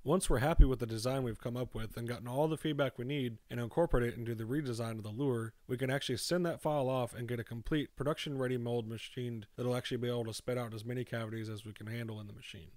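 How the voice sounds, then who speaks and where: A man's voice: medium pitch, fairly even intonation, quick pace, few pauses, darkish tone, no echo. A young man who makes fishing lures explains mold making step by step in a voice-over, often saying "actually". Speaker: lure maker. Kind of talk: demonstration voice-over.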